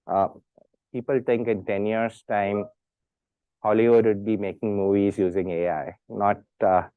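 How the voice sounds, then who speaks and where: Man, halting speech, muffled audio. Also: lowish voice, fairly even intonation, clean tone, no room sound. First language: Tamil. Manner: hesitant, many fillers and restarts. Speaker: speaker at an institute talk. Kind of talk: talk with audience questions.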